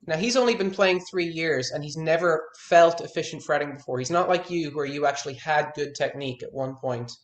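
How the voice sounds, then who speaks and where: A man's voice: on the high side, brisk, hardly any pauses, echoey room. A man in his thirties, a guitar teacher, talking frankly in a one-on-one consultation and restarting his words as he stresses his points. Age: 30s.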